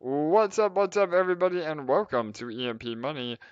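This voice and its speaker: A man's voice: on the high side, a little fast, smooth, dry recording. A man hosting a casual livestream Q&A, talking with many filler sounds. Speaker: team lead and host. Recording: slightly dull, dry audio.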